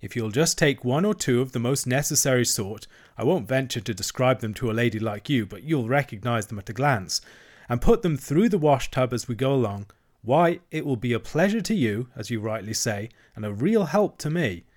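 A man's voice: mid-range voice, lively intonation, moderate pace, lightly acted. A man voicing a hearty, shrewd middle-aged barge woman who speaks politely with a sly edge.

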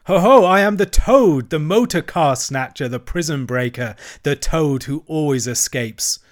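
A man's voice: medium pitch, extremely slow, darkish tone. Man doing a boastful swaggering toad. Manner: swaggering, gloating. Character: boastful reckless male toad in disguise.

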